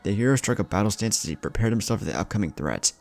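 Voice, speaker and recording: A man's voice: on the low side, lively intonation, quick pace. A man narrating a scripted fan fiction story.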